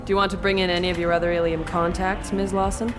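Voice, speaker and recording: A woman's voice: fairly low pitch, level tone, fast talker, music in the background, close to an everyday voice. An actress playing a composed, deferential female contact giving formal reports in a sci-fi video game. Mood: formal concern. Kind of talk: scripted video game dialogue.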